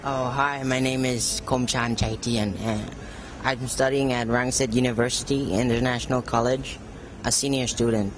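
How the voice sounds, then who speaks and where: A young man, a university student, giving short, halting answers. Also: medium pitch, flat delivery, slight background noise. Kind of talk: casual interview answers.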